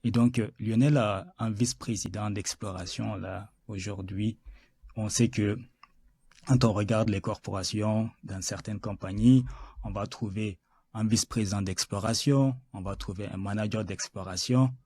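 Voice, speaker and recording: A male podcast host with a lowish, mellow voice who feels his way through each question, filling pauses with hesitant sounds and restarting his sentences. The sound is dry and close, with no room around it. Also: steady tone, husky, no echo. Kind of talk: interview.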